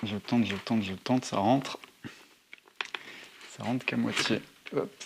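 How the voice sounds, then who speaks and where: A man's voice: fairly low pitch, fairly even intonation, slow pace. A man narrating a casual hands-on tutorial to his viewers, thinking aloud as he handles things. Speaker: motorcycle vlogger presenting gear. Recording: clear background noise, handling clicks.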